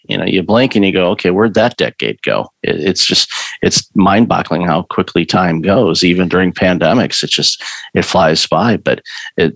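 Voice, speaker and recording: A man's voice: sits low, no room sound, low-quality recording. A man speaking in an earnest, explanatory way, giving conversational advice in an interview.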